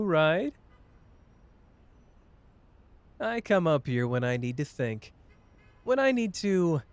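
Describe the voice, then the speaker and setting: A man's voice: a little high, darkish tone. A man playing a cocky, tender young male sorcerer in an intimate ASMR romance roleplay, with a playful, teasing, casual manner. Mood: gentle, reassuring.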